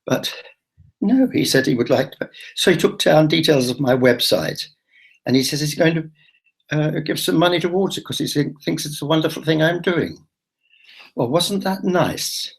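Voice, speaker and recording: A man's voice: on the high side, normal speed, gravelly, husky, rough recording. A man telling a rambling, confiding travel-diary story, full of restarts and asides. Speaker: travel vlogger.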